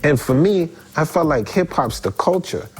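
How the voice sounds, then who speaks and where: A middle-aged man, telling stories in an interview in slangy, animated talk full of rhetorical questions. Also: a little high, moderate pace, husky, faint music behind. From Haiti. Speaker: musician guest. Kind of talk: relaxed storytelling interview.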